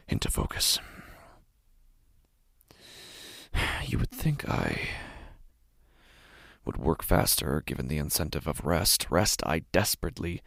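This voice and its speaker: A man playing an exhausted, anxious father, narrating a first-person horror audio drama in a gravelly, husky, halting voice. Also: low-pitched, no room sound, studio quality, exaggerated voice. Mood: weary, uneasy. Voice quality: gravelly, husky, often breathy. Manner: hushed, halting delivery with heavy sighs. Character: exhausted, anxious father haunted by his daughter's calls.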